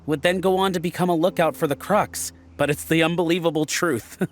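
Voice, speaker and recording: Game role: male actor as an affable, proud sailor lookout with a raised pitch, explaining things chattily. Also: fairly even intonation, fairly quick, natural voice. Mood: fond, self-deprecating. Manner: chatty, explanatory, self-deprecating. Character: proud, friendly sailor lookout teaching weather lore. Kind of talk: video game character acting.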